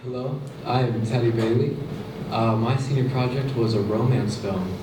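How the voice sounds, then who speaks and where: A young man in his late teens introduces his student project in a measured, earnest way. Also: medium pitch, steady tone. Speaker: student presenter. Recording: echoey hall, distant mic, noise.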